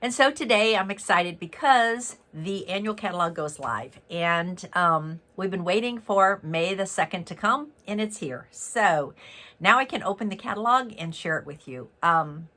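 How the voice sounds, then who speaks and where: Raspy, congested man demonstrating crafts. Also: high voice, normal speed, pushed and bright. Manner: chatty and upbeat, with many fillers and self-corrections. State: head cold, raspy and congested. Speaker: stamping demonstrator and host. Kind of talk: casual card-making tutorial.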